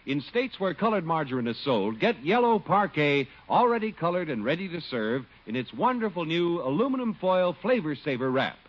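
Male 1950s radio announcer, lively scripted read, slightly high voice, muffled vintage recording. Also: moderate pace, hardly any pauses. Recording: dull old radio recording. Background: faint music.

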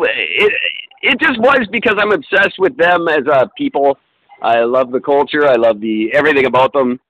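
A man's voice: mid-range voice, a bit bright, no room sound, dull audio. A man in casual podcast chat, talking in a rambling, self-deprecating way with many fillers and restarts. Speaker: podcast co-host.

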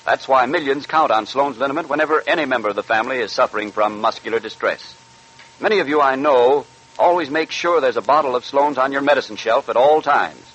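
A man's voice: mid-range voice, a little fast, a bit bright, breathy edge, highs cut off. An American man announcing on 1940s radio in a polished, scripted advertising style. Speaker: radio announcer reading a sponsor ad.

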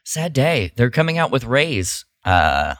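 A man's voice: mid-range voice, big pitch swings, slow pace, a bit bright, no room sound, studio quality. A man co-hosting a podcast, chatting informally and enthusiastically as he shares fandom news. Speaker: podcast co-host. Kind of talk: casual chat, sharing fandom news.

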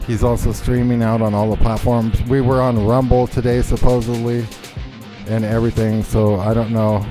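A man's voice: medium pitch, little pitch movement, moderate pace, over music. A man hosting a podcast, ranting in heated, profane political chat and reading an ad near the end. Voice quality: profane, rambling. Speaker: podcast host.

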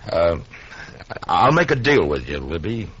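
Deep american western marshal, kindly but firm, lively swings, muffled old radio show. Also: male voice, unhurried, heavily acted. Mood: firm, coaxing. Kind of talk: 1950s radio western acting. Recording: dull, noisy old radio recording.

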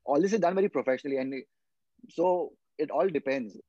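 A man's voice: on the high side, brisk, warm tone, a little hiss or hum. A young man from India, a guest footballer in a casual podcast chat, explaining earnestly and often repeating his words as he thinks. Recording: dull lo-fi podcast mic.

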